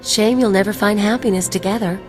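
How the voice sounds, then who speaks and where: An actress playing a sly, sardonic mercenary companion who teases and mocks. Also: female voice, medium pitch, fairly even intonation, a little fast, faint music behind, natural voice. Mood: teasing. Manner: teasing, sardonic. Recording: game cutscene with loud score.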